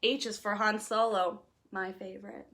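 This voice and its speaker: A woman reading a rhyming children's picture book aloud in an expressive, playful, sing-song way. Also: medium pitch, normal speed. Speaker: storytime reader.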